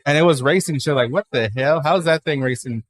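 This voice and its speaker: A man in his twenties from Dallas, a new stand-up comic, chatting casually as a podcast guest with lots of fillers and swearing. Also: fairly high pitch. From Dallas, Texas. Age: 20s. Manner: rapid, filler-heavy, profane. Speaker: guest comedian. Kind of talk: casual interview chat.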